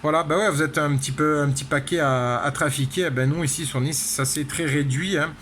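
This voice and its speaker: A man's voice: on the high side, steady tone, fairly quick, fairly bright tone. A man chatting casually as an amateur radio operator, peppering his talk with tag words. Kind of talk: casual radio contact chat. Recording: clear background noise.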